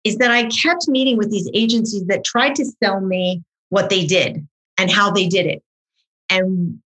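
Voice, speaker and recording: A middle-aged woman with a middle-pitched voice, chatting animatedly as an interview guest. Her first language was French, and she tells her story in quick bursts, often backing up and restarting her sentences. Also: fairly bright tone, rough recording. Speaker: marketing consultant guest. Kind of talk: conversational interview.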